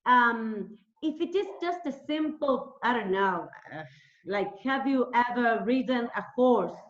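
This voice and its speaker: A woman's voice: a little high, expressive, unhurried. A woman hosting an informal interview, speaking hesitantly in simple phrases and repeating herself. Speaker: interviewer, English teacher. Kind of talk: informal interview over video call. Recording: dull, echoey call audio.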